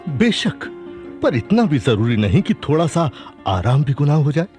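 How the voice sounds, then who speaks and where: An actor playing a commanding Sultan and doting father in a historical radio drama, speaking in a regal, measured, declamatory way. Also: a little high, sing-song, darkish tone, soft background music. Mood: commanding, proud.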